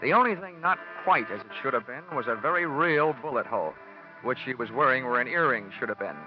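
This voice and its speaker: An actor playing a wisecracking, cynical insurance investigator in a mid-century American radio detective drama. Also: male voice, mid-range voice, lively intonation, fairly quick, close to an everyday voice. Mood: wry, hardboiled. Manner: wry, cynical, slangy. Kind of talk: 1940s-50s radio detective acting. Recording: dull vintage radio recording, music behind.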